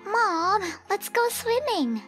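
A woman's voice: very high voice, very animated, takes it slowly, a bit bright, faint music behind, heavily acted. A woman voicing a cheerful four-year-old girl in a manga dub. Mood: eager. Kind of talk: manga dub character acting.

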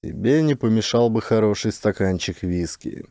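Man playing a weary low-voiced officer. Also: animated, moderate pace, creaky, dark tone, no echo, studio quality. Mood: grim, controlled. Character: weary, practical male officer facing danger.

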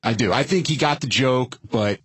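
A man's voice: medium pitch, normal speed, slightly rough, no echo. A man hosting a casual radio discussion, steering topics and asking questions. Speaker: radio wrap-up show host.

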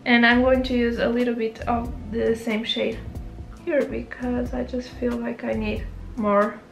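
A woman's voice: a little high, flat delivery, a little slow, gravelly, faint music behind. A young woman with a Portuguese accent, chatting through a makeup tutorial, often correcting herself. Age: young adult. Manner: chatty, self-correcting, rambling asides. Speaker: beauty vlogger. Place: small bedroom, indoors. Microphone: camera close up.

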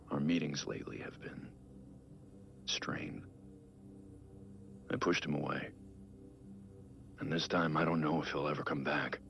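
An actor playing a brooding, world-weary middle-aged vigilante in a video game, dictating private logs in a grave, measured way with deliberate pauses. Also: male voice, fairly bright tone, heavily acted. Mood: despairing, weary. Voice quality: gravelly, very low. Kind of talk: dramatic scripted game monologue. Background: music.

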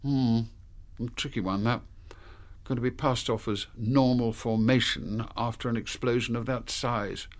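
An actor playing a dry, authoritative British intelligence chief in a radio drama, clipped and matter-of-fact. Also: male voice, medium pitch, fairly even intonation, measured pace, lightly acted. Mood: grave, wry.

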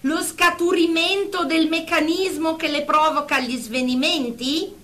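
A woman's voice: high voice, steady tone, unhurried, sharp tone. An actress playing a stern, exasperated female therapist in a radio drama, speaking in a formal, pedantic way. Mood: formal, controlled.

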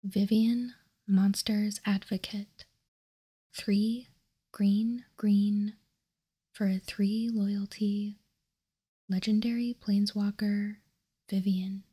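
A woman whispers in a very breathy, airy voice with almost no tone to it. She goes slowly and deliberately in an ASMR style, keeping an even, hushed delivery close to the mic. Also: mid-range voice. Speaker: host. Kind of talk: whispered ASMR card showcase.

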